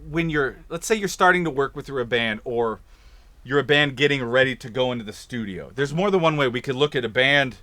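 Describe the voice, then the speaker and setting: Bright, upbeat man hosting a studio show. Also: medium pitch, a little fast, dry recording. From Kansas. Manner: upbeat, joking, energetic. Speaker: host. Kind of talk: casual studio chat. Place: recording studio.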